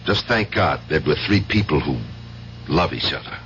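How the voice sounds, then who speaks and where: An American actor playing an insistent, accusing, protective brother in a theatrical 1970s radio drama style. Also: male voice, a bass voice, lively intonation, normal speed. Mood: grave, insistent. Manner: forceful, emphatic, theatrical delivery. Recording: muffled, hissy 1970s radio recording.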